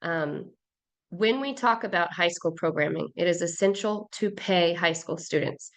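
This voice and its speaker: A hoarse woman, a program director, giving an upbeat webinar presentation over a video call, with many fillers and restarts. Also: lowish voice, moderate pace.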